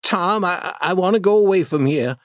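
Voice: medium pitch, animated, moderate pace, no echo, clean studio sound, voice pushed up, close to an everyday voice. A storybook reader voicing a timid, superstitious young boy who speaks hesitantly and stammers. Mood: nervous.

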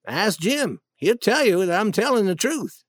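An earnest runaway boy from a storybook, acted out by a narrator. He pleads his case anxiously and hurriedly, with lively intonation in a dry, close recording. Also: mid-range voice, a little slow, no room sound.

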